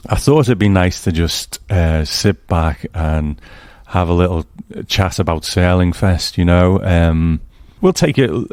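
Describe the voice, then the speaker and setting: Male podcast host, very low voice, casual chat, close dry recording. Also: unhurried, hardly any pauses, a little mellow, no echo.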